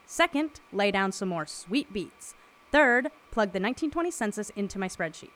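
Exasperated, deadpan skeptical woman, played by an actress. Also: mid-range voice, normal speed, close to an everyday voice. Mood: exasperated, brisk. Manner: precise, clipped, deadpan. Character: exasperated, literal-minded skeptical woman researcher. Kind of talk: naturalistic audio drama acting.

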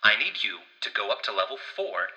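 An actor playing a gruff, exasperated boss of a watchtower crew in an audio drama, curt and sarcastic. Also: male voice, fairly low pitch, sing-song, a little fast, pushed and bright, highs cut off, exaggerated voice. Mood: irritated.

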